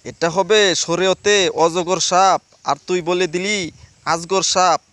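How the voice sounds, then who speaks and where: A man's voice: sits high, fairly even intonation, slow, fairly bright tone, no echo. A man voicing a stern, easily flustered schoolteacher who scolds theatrically in a comedy cartoon.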